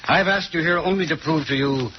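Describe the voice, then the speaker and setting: An actor playing a self-assured, courteous gentleman detective in an old radio mystery, with pompous, precise formality. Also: fairly high pitch, fairly quick, natural voice. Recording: muffled, hissy old radio recording.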